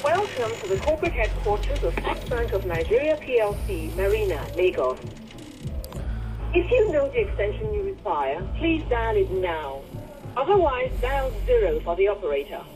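A woman's voice: medium pitch, sing-song, normal speed, close to an everyday voice. A woman voicing a polite, formulaic bank switchboard announcement in a radio play. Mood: courteous. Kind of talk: recorded phone greeting. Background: music.

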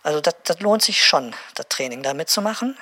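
A woman's voice: low-pitched, expressive, a little fast, a bit bright. A woman playing a callous, bumbling bureaucrat who stumbles and repeats words in a mock-documentary interview for radio drama. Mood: stumbling, promotional. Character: bumbling, callous bureaucrat selling a seniors' programme.

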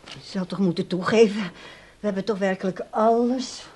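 A controlling grown woman, played by a radio actress, speaks at a medium pitch. Her delivery is brisk and formally polite, with a placating, evasive manner in the style of a radio play. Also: fairly even intonation, a little mellow, breathy edge, natural voice. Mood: concerned. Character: polite but evasive, controlling adult daughter. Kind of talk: radio drama acting.

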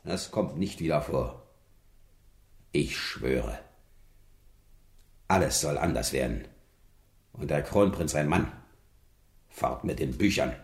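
A man's voice: very deep voice, expressive, slow, long pauses, a little mellow, echoey room, heavily acted. An actor playing a blustering, vain, middle-aged king in a German radio play, imperious and volatile, swinging between muttering and outbursts. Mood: grim resolve.

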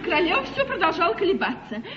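An actress playing an excitable, earnest young lady of the court in a theatrical stage comedy, eager and breathless. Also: extremely high pitch, quick pace. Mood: eager reporting. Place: theatre stage, before an audience. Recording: dull 1950s live theatre recording, audience noise.

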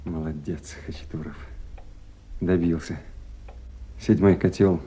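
An actor playing a tactful, deferential duty officer in a radio drama, speaking in a restrained, musing way. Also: male voice, low voice, fairly even intonation, measured pace, mellow, breathy edge, full character voice. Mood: musing, subdued. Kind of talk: 1970s Soviet radio drama acting.

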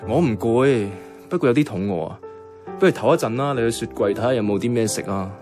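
Light music sits behind a young man of medium pitch played by an actor with a Hong Kong Cantonese accent. He is a soft-hearted, modest office worker in a radio drama. His way of talking is casual and colloquial. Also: animated, fast, lightly acted. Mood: teasing, coaxing. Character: soft-hearted, self-effacing young male bank worker, secretly devoted.

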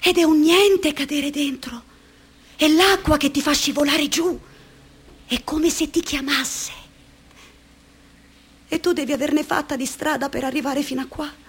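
A lonely young woman in a radio play. Her voice sits high and light. She speaks in a hushed, slow, musing way. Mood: tender, dreamy. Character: lonely, dreamy young woman, fragile and provocative. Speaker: actress in a radio drama.

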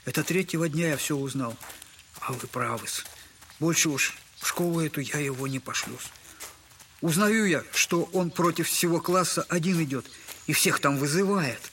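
An actor playing a humble, wounded retired captain and loving poor father in an audio drama, speaking effusively and haltingly in a servile old-fashioned way. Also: on the high side, fairly bright tone, slight background noise, natural voice. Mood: mournful, tender.

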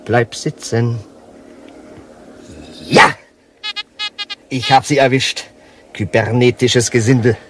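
An actor playing an excitable, self-absorbed male bar patron in a satirical radio play, exclamatory and fussy. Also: medium pitch, takes it slowly, long pauses, slight background noise. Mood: alarmed.

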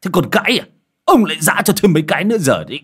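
Low gruff old village dad played by a man, drawling then hollering, lively swings. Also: a little slow, exaggerated voice. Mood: yelling. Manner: drawling with hesitant "à", bursts into loud scolding. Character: gruff rustic old village father, hot-tempered.

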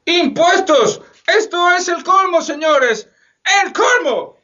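A man playing a loud, bossy, indignant bourgeois gentleman in a theatrical radio drama style. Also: very high-pitched, expressive, slow, heavily acted. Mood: outraged, commanding. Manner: exclamatory, theatrical. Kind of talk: Spanish-language radio theatre acting. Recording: dull lo-fi radio play, faint music.

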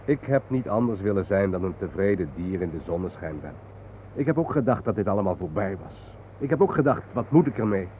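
An actor playing a weary, haunted man who guides a companion, speaking gravely and reflectively in a radio drama. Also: fairly low pitch, animated, brisk, mellow, natural voice. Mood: troubled. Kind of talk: radio drama acting, at times poetic. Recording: tinny narrow-band old radio recording, hissy.

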